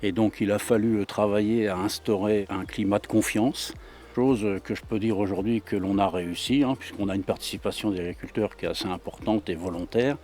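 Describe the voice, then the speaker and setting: A man's voice: lowish voice, a little fast, darkish tone. A man giving a conversational interview testimonial, feeling his way through his phrases. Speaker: local water authority representative.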